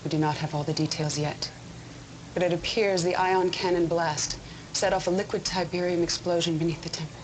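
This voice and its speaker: A woman's voice: on the low side, fairly even intonation, brisk, slight background noise, natural voice, low-quality recording. An actress playing a stern female military commander who speaks in a firm, commanding way. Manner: firm, commanding speech-giving. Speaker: commander and new leader.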